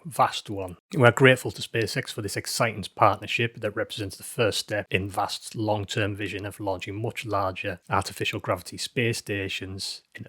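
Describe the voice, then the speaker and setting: A man's voice: on the low side, fairly bright tone, no echo, clean studio sound. A man hosting a news update show, narrating from a script with an emphatic, dramatic storytelling delivery. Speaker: host of a space news show.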